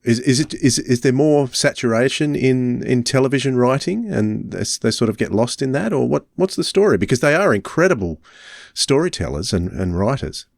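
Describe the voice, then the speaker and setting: A man interviewing casually, asking questions in a tentative, halting way. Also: medium pitch, lively intonation, creaky, no echo, studio quality. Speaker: podcast interviewer.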